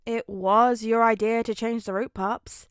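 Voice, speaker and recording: A woman voicing a dry, awkward teenage son with a flat, hesitant delivery in a story reading. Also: on the high side, unhurried, clear, resonant tone, no room sound, lightly acted.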